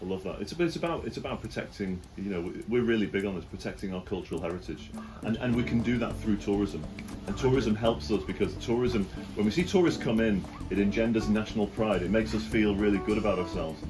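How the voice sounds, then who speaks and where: Low-voiced man, encouraging host. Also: fast talker, poor audio. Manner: encouraging, short affirmations. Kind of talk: casual interview chat. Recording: music playing behind.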